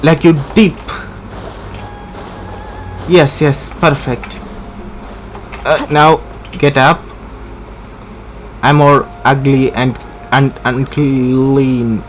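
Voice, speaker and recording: Slow-talking man commenting on gameplay. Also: medium pitch, flat delivery, slight background noise, rough recording. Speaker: gamer.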